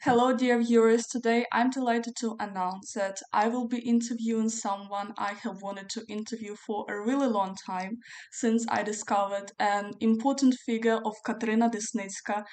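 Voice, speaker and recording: A woman's voice: fairly high pitch, a little mellow. A woman interviewer on a video call, greeting viewers and asking questions in a formal, eager and polite way. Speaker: interviewer and host. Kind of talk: scripted interview introduction.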